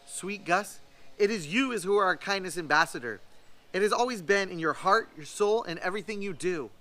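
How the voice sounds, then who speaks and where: A man voicing a kindly female school principal in a storybook, gentle, earnest and deliberate. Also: high voice. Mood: tender, reassuring.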